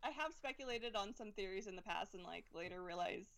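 A woman's voice: mid-range voice, expressive, fairly quick, a bit bright. A woman from Alberta with a Canadian accent, answering interview questions about her political videos with hedging and frequent restarts. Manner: hedging, self-deprecating, many restarts. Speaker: political video creator, guest.

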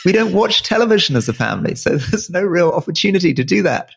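A man who grew up in Malaysia co-hosting a casual political podcast, earnest and halting, often restarting and repeating words. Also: sits high, sing-song, gravelly, slightly rough. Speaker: podcast co-host.

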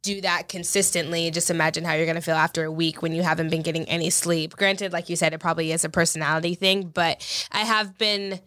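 A woman's voice: lowish voice, fairly even intonation, quick pace, few pauses, fairly bright tone, no room sound, studio quality. A woman co-hosting a casual chat podcast, stuffy and congested from allergies, rambling with lots of fillers. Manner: chatty, rambling with many fillers.

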